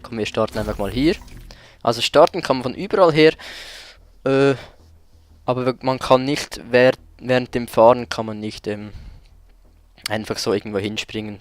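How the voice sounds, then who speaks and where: A man's voice: mid-range voice, no room sound. A man doing casual let's play commentary as host, with a somewhat hesitant delivery, searching for his words.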